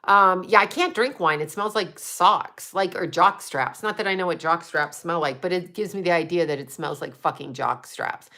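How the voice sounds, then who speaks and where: A woman's voice: fairly low pitch, moderate pace, hardly any pauses, pushed and bright. A woman in her fifties, hosting a casual livestream, chatting bluntly and profanely while answering viewer comments. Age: 50s. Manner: blunt and profane, trash-talking trolls between chatty tips. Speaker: livestream host.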